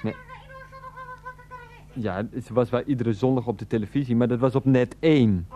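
A man's voice: medium pitch, normal speed, dark tone, highs cut off, slight background noise, natural voice. A man playing a put-upon husband and dutiful son in a radio play, stammering and incredulous. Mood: patient, weary.

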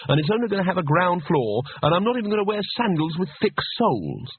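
A man acts out a cowardly man in mortal peril, grumbling with sharp sarcasm. His voice is rough and husky, swinging widely in pitch. It sounds like a comic fantasy storybook, heard through a narrow, phone-like band. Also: big pitch swings, fast, no echo, exaggerated voice. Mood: exasperated.

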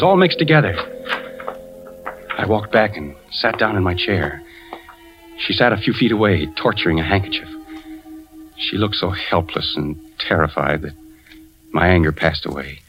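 A man's voice: lowish voice, lively intonation, moderate pace, pauses often. An American actor playing a suspicious, anguished husband, an insurance investigator who narrates his story in a tense 1940s radio drama style. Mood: brooding, tense. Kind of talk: 1940s radio drama acting, first-person suspense narration. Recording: dull 1940s radio recording with music.

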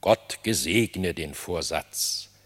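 An actor playing a stern, suspicious animal king in a German radio drama, speaking verse with measured regal solemnity. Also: sits low, full character voice. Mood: grave, gracious.

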